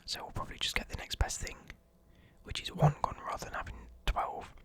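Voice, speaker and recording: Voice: fairly quick, fairly bright tone. A young adult whispering a casual, enthusiastic ASMR music review, swearing now and then and muttering lyrics under the breath. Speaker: ASMR vlogger.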